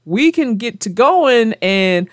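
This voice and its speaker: Slow, expressive woman storyteller. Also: on the high side, dry recording, studio quality. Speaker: children's read-aloud narrator and host. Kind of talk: storybook narration and casual discussion.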